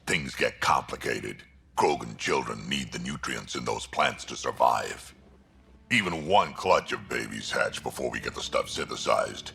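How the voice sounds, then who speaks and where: An actor playing a gruff, blunt old krogan alien warrior with a raspy, gravelly growl in a video game. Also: male voice, rumbling low, sharp tone, heavily acted.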